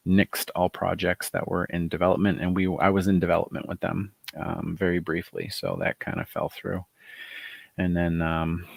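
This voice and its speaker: A middle-aged man with a soft, gravelly voice, chatting casually and rambling on a live stream while showing handmade dice. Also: low voice, dry recording. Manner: relaxed, rambling, many fillers. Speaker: author hosting a live chat.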